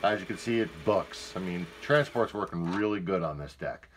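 A man's voice: deep voice, animated, normal speed, a little hiss or hum. A man giving a casual, plain-spoken product demonstration indoors, speaking informally from off camera.

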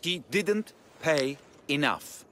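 An actor playing a sly, smirking, cowardly informant in a video game, with a taunting, theatrical manner. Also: male voice, fairly high pitch, expressive, slow. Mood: sly, mocking.

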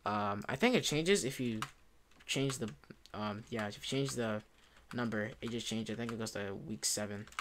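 A young man giving a casual software tutorial in an informal, rambling way, often correcting himself. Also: on the low side, normal speed, slight background noise. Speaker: tutorial presenter. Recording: music behind, keyboard clicks. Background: music, computer typing and clicks.

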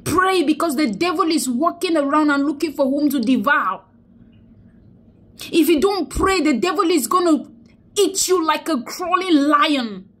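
A woman's voice: sits high, lively intonation, measured pace, a bit bright. A young woman talks close into her phone indoors, grieving and then preaching, repeating her phrases for emphasis. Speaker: vlogger. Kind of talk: emotional selfie vlog, preachy. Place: indoors, small room. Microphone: phone held close to face.

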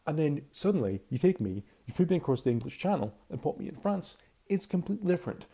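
A man's voice: a little high, very animated, fast, creaky, dark tone, breathy edge. A twenty-year-old man with a Scottish accent, giving a casual, chatty and candid voice-over commentary. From Scotland. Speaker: video commentator.

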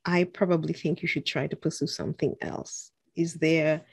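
A woman's voice: low voice, flat delivery, normal speed, vocal fry, breathy edge, no echo. A woman hosting a podcast, asking questions in a hesitant way with many fillers over a video call. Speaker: podcast host. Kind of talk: interviewing a guest. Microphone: video call with a dark foam mic.

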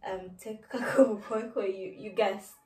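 A woman's voice: medium pitch, steady tone, a little slow, darkish tone. A woman in her twenties quizzing her sister in a casual vlog, teasing and laughing often. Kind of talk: casual chat, quiz game. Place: living room, indoors. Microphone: far camera. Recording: roomy sound from a distant camera.

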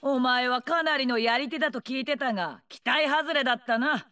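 An actress voicing a brash, bloodthirsty older female warlord in a video game, with rough slangy taunts, a snarling delivery and mocking, gleeful menace. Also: high-pitched, normal speed, bright, forceful tone. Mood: scornful taunting. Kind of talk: video game character voice lines.

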